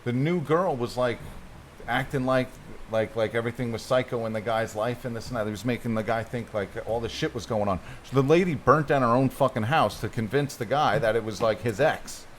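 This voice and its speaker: A man's voice: mid-range voice, fairly quick, a little hiss or hum. A middle-aged man co-hosting a casual podcast, recounting a story in a rambling way full of filler. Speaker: podcast co-host. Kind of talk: casual chat.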